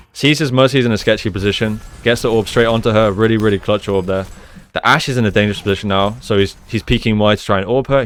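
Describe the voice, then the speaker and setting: Clear, low-voiced man analysing gameplay. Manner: explanatory, conversational, often checks with "right?". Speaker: gaming coach, analyst. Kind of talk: video game gameplay analysis commentary.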